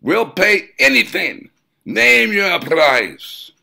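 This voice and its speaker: A man voicing a squat, gnome-like male client in an audiobook, overbearing and insistent, speaking loudly in broken English. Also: sits high, big pitch swings, extremely slow, vocal fry, pitched above the performer's own voice, full character voice. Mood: overbearing, demanding.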